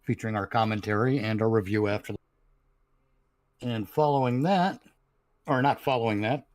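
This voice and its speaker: A man's voice: on the low side, moderate pace, darkish tone, dry recording. A man on a podcast panel giving casual, rambling plugs in a bumbling, jokey way.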